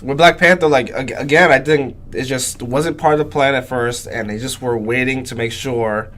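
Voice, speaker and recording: A congested young man co-hosts a relaxed podcast chat. He sits back from the microphone and speaks softly, hedging with plenty of fillers. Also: mid-range voice, normal speed. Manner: hesitant, many fillers, soft-spoken. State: congested from a cold. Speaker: podcast co-host. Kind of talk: casual chat. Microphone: sitting far from the mic.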